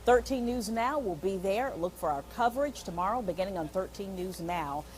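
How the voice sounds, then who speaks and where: A woman's voice: medium pitch, lively intonation, brisk, darkish tone. A woman anchoring a television newscast, reading scripted news stories. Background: director's cues.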